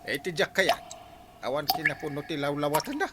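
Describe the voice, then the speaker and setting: A man's voice: on the high side, big pitch swings, a little fast, noisy background. A man playing an exclaiming village peacemaker in an Ilocano comedy drama. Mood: complaining. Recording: loud music behind dialogue.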